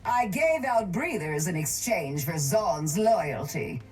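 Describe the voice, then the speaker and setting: An actress voicing a cold, calculating, wealthy woman crime baron in a video game, smug, measured and condescending. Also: on the low side, sing-song, darkish tone, faint music behind, low-quality recording. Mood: cool, calculating.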